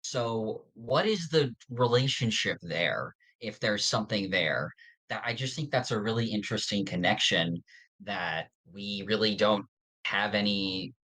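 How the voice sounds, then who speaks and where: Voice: low-pitched, measured pace, sharp tone, rough recording. A podcast co-host chatting informally in a casual fan discussion.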